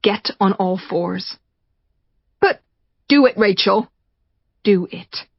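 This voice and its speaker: A woman reading an audiobook, voicing a jealous, cruel young man in a low, cold, menacing tone. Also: animated, slow pace, no echo. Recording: dull lo-fi recording.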